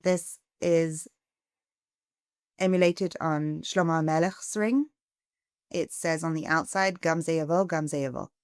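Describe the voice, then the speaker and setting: A middle-aged woman from England, a charity founder giving a podcast interview, earnest and growing animated as she asks for help. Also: deep voice, unhurried, long pauses, clear, resonant tone, dry recording, clean studio sound. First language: English.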